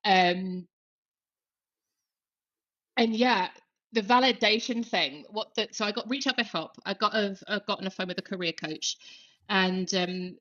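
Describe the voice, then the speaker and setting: A woman's voice: mid-range voice, a little slow, long pauses, a bit bright, highs cut off. A woman guest on a podcast, talking casually with lots of fillers and restarts. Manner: chatty, many fillers and self-corrections.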